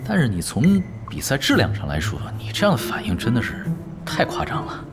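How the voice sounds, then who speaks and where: A laid-back, animated young pro gamer. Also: male voice, mid-range voice, fairly quick. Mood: dryly teasing. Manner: relaxed, nonchalant, analytical. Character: young male esports pro player, laid-back, teasing, expert. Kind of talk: audio drama acting, conversational. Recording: music behind the voice.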